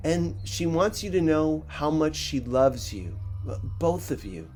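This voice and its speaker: A man voicing a hesitant, earnest man in his thirties in audiobook dialogue, halting and emphatic. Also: on the high side, very animated, darkish tone, faint music behind.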